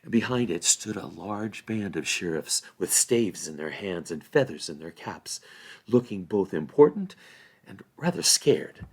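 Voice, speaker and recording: Man narrating a story with lively intonation. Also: low voice, a little slow, gravelly, slightly rough. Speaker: volunteer story reader.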